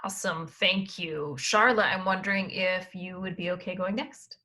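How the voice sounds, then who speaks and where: Woman host, bright forceful voice, runs an online panel with steady instructions. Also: lowish voice, moderate pace. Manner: organized, gives instructions, occasional fillers. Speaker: assistant dean of admissions, panel host.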